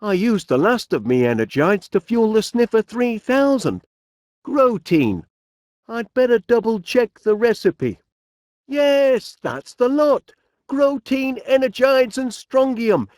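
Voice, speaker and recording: A man's voice: extremely high pitch, sing-song, slow pace, a little mellow, no room sound, studio quality, exaggerated voice. A man playing a cheery, eccentric middle-aged inventor in a cartoon video game, with a Northern English accent and a dotty, genial, musing manner. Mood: pondering.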